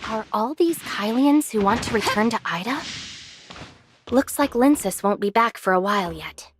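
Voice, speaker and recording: A woman plays a naive young anime game girl, full of curiosity. Her voice is fairly high and very lively in pitch, over clearly noisy audio. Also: normal speed, lightly acted. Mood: curious. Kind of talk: scripted anime game dialogue.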